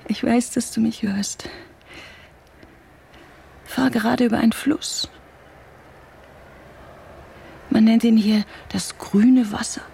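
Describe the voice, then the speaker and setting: A woman's voice: fairly high pitch, fairly even intonation, a little slow, gravelly, long pauses, dark tone, slight background noise. An actress in a German radio drama playing a cunning, vengeful woman who talks in a taunting, intimate, theatrical way. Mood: tender, menacing. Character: vengeful cunning woman restorer, playful and obsessive.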